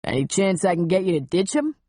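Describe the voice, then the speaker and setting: A woman's voice: fairly low pitch, sing-song, brisk, dark tone, no room sound, clean studio sound. A woman voicing a smug, brazen male pilot with a slick, cocky New York accent in an audiobook. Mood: smug flirting. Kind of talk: audiobook character dialogue.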